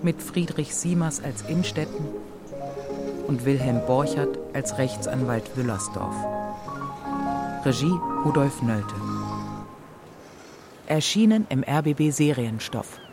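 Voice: medium pitch, animated, slow, pauses often. An announcer reading scripted radio drama credits. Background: loud music.

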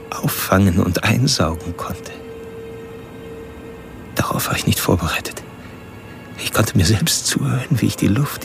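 Radio drama casting: coldly literary serial killer, very low creaky voice, confiding narration over music. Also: male voice. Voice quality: low, gravelly voice. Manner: introspective, precise, literary. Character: cold, articulate male serial killer narrating his stalking. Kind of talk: radio play first-person narration. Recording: music under the voice.

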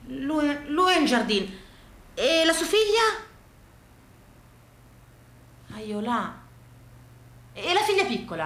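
A woman performs a theatrical comic monologue. She repeats phrases and leans on rhetorical questions and pauses. The sound is clearly echoey, as in a reverberant room. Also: sits high, bright, forceful tone.

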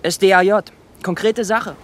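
An actor playing an eager, awkward teenage boy, halting and colloquial, in a German radio play. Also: high voice, steady tone, a little hiss or hum, natural voice.

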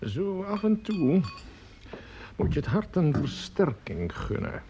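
An actor playing a grumbling, opinionated music lover who rants and mutters passionately with a husky voice in a radio play. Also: male voice, high-pitched, big pitch swings, noisy background, heavily acted. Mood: musing, opinionated. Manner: ranting, muttering, drawn-out emphatic words. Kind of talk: theatrical radio play acting. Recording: dull lo-fi radio play recording.

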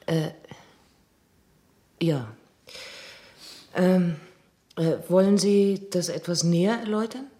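A woman's voice: fairly low pitch, slow pace, pauses often, warm tone, a little hiss or hum. An actress playing a polite, probing female interviewer in a radio drama.

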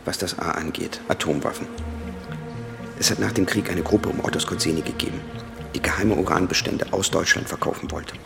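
An actor playing a sober, methodical investigator in a radio drama, laying out a conspiracy with probing questions. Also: male voice, low-pitched, a little fast, vocal fry. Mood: analytical. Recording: studio radio drama with music underneath. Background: music.

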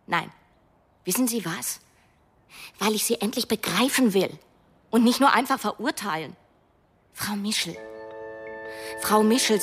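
A woman's voice: mid-range voice, lightly acted. An actress playing a young woman who narrates a radio drama, probing and direct with a wry edge. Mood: probing, challenging. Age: young adult. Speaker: first-person narrator.

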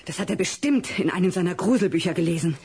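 A woman's voice: on the low side, animated, fast, darkish tone, a little hiss or hum. An actress playing a worried, distrustful mother in a radio play, grumbling and reproachful.

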